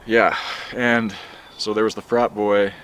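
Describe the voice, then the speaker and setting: A young man narrating a commentary video in a measured, lecturing way. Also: on the low side, level tone, a bit bright, a little hiss or hum, poor audio.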